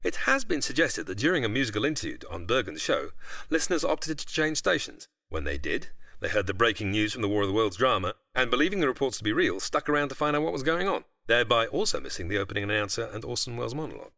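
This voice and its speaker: Brisk, expressive British man explaining. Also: medium pitch, no echo, clean studio sound. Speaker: podcast guest. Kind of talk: scripted explanatory narration.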